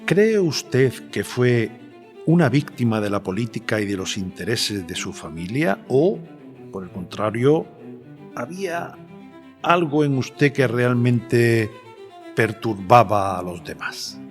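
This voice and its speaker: Actor doing a polite guy interviewer, careful halting questions, average pitch, faint cello music behind. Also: expressive. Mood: measured, respectful. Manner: measured, hesitant, deliberate phrasing. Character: polite, probing male journalist interviewing a historical queen. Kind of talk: dramatised historical interview, audio fiction. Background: cello and violin music.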